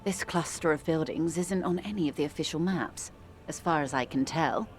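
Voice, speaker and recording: Actress playing cultured moneyed woman, slightly low pitch, wry, condescending, composed game character, music behind. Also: normal speed, close to an everyday voice. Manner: arch, refined, dryly ironic. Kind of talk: video game dialogue acting.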